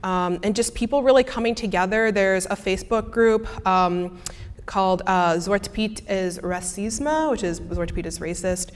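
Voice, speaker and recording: Young Midwestern woman, student presenter, chatty and halting, average pitch, echoing hall. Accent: Midwestern American. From American Midwest. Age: young adult. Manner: casual, many fillers and restarts. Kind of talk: answering audience questions after a talk. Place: lecture hall. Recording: reverberant lecture hall.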